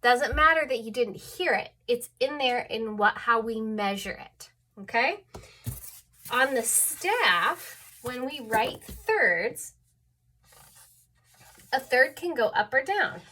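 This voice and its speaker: A woman's voice: a little high, animated, takes it slowly, sharp tone. A woman teaching an online music theory lesson, a little stuffed up, patiently explaining and often checking in with "okay?".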